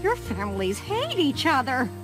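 A woman voicing a friendly, excitable female pony in a cartoon, bubbly and effusive. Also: high-pitched, normal speed, rough recording. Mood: amazed, gushing. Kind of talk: cartoon voice acting. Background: music.

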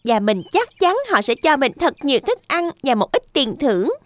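A woman's voice: sits high, big pitch swings, moderate pace, dry recording. A woman voicing an earnest, brave little boy pig, the youngest brother, in a children's fairy tale cartoon. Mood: cheerful, earnest.

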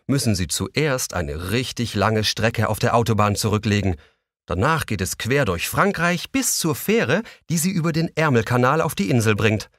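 A man narrating a children's audio play, expressive and speaking directly to young listeners. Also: medium pitch, dry recording, clean studio sound.

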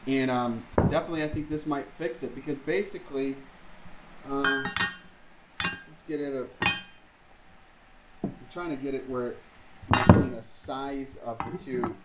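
A man's voice: medium pitch, steady tone, measured pace, pauses often, reverberant, very noisy. A man casually explaining a jet ski part swap, thinking aloud with lots of fillers, recorded on a camera in his home garage. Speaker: vlogger. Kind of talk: casual how-to vlog.

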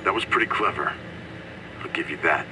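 Casting: man acting foul-mouthed betrayed heavy for a video game, low pitch, distant noisy recording. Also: brisk, close to an everyday voice. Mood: bitter, accusing. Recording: distant, noisy vehicle ambience.

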